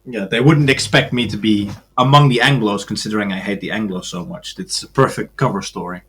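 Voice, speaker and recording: A man from Belgium speaks in a fairly low voice as a podcast guest. His delivery is matter-of-fact, and he slips in sardonic asides. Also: steady tone, a little fast, a bit bright. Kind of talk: casual podcast conversation.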